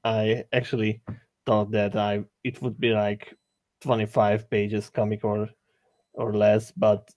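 Slow-paced man with a Hungarian accent. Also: on the low side, a little mellow, no echo. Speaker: guest artist. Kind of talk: casual chat.

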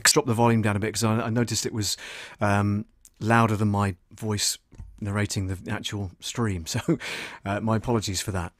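A man's voice: fairly low pitch, flat delivery, normal speed, no echo, studio quality. A middle-aged British man hosting a casual screen-share tutorial, hesitant as he thinks aloud while working. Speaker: voice-over artist and audio producer hosting a livestream.

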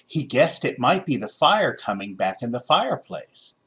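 Lively American man reading a story. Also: mid-range voice, normal speed. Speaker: storybook reader. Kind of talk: expressive children's story narration.

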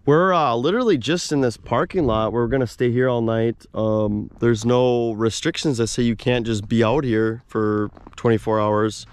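A man's voice: mid-range voice, fairly even intonation, normal speed, few pauses. A man hosting a casual camping vlog, chatting informally and using slang, recorded in and around a parked car. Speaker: vlog host. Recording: clear background car noise.

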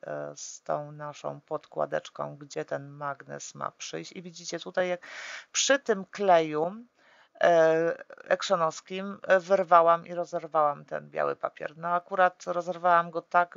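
A woman calmly walking through a papercraft tutorial step by step, often pausing with drawn-out fillers as she works. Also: low voice, creaky, no room sound, lo-fi sound. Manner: hesitant, full of drawn-out "e" fillers while working; calm, practical instructing.

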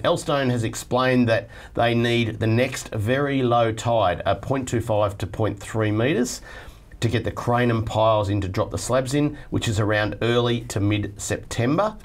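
Aussie bloke hosting, middle-aged, reading out letters on a tv studio set, bit chatty. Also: male voice, medium pitch. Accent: Australian. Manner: reads letters aloud, chatty and joking. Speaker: host reading viewer mail. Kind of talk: casual studio chat.

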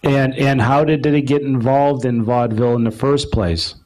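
A man interviewing a guest for a club meeting in an informal, halting, stammering way. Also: mid-range voice, fairly even intonation, a little mellow, poor audio.

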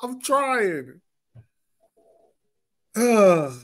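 A man's voice: sits high, very animated, extremely slow. An American man in his thirties hosting a casual sports podcast over a video call. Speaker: podcast host. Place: small room at home, indoors.

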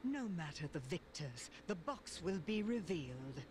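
An actress playing a haughty, menacing evil sorceress in a video game, speaking in a stately, theatrical way. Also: female voice, on the low side, sing-song, measured pace, noisy background. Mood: cold, portentous. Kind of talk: villain acting in a video game.

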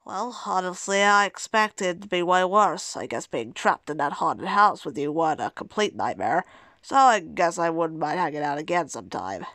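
Woman voicing an irritable nerdy anteater, highly dramatic. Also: expressive, normal speed, fairly bright tone, no room sound. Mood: grudging. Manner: grumbling, sarcastic, dramatic when alarmed. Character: irritable, nerdy, exasperated male anteater cartoon character. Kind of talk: amateur comic dub acting. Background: music.

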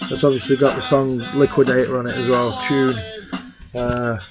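A man in his thirties with a slightly hoarse voice, chatting casually on a webcam at home and rambling with plenty of ums and uhs. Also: medium pitch, level tone, normal speed. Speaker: vinyl collector vlogger. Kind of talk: casual chat. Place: small room at home. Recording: music playing in the room. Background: music playing.

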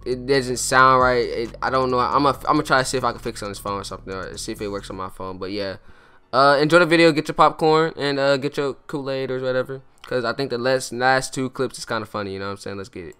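A man's voice: mid-range voice, steady tone, quick pace, clear, resonant tone. A teenage boy hosting a casual interview vlog in a school cafeteria, talking loosely with lots of fillers and slang. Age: teens. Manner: laid-back slangy chatter with lots of fillers. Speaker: vlogger interviewing classmates. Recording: noisy crowded room on a phone. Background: loud crowd chatter.

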